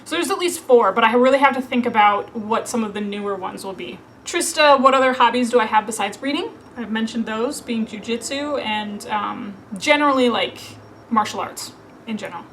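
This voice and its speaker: A woman's voice: on the high side, moderate pace, fairly bright tone, slight background noise, poor audio. A woman in her thirties from Minnesota, chatting casually to camera as a book vlogger, with lots of fillers and frequent laughs. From Minnesota, USA. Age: 30s.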